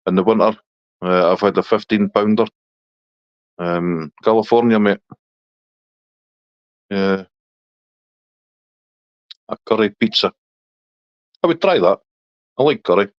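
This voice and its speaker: A man's voice: lowish voice, unhurried, pauses often, dry recording, dull audio. A middle-aged man from Scotland with a Scottish accent, chatting casually on a live stream and answering viewers' comments.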